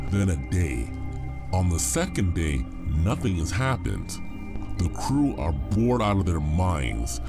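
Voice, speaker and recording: Man, scripted drama narrator, deep voice, lively intonation, music behind.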